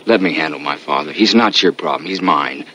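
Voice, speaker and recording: Very deep, husky, stern son. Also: male voice, a little fast, bright, forceful tone, full character voice. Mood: firm. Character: stern, protective grown son in a family drama. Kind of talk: television drama dialogue.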